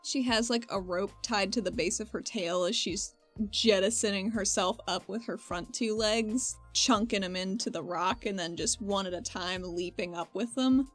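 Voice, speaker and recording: A woman's voice: medium pitch, moderate pace, a bit bright, faint music behind. A woman playing a tabletop roleplaying game, chatting casually in a playful, irreverent, sweary way.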